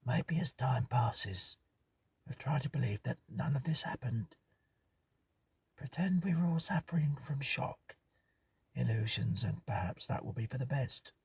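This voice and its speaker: A man voicing a shaken survivor who speaks in a hushed, solemn tone. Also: medium pitch, expressive, measured pace, vocal fry, husky, far from the mic, pitched above the performer's own voice, heavily acted. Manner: hushed, whispery.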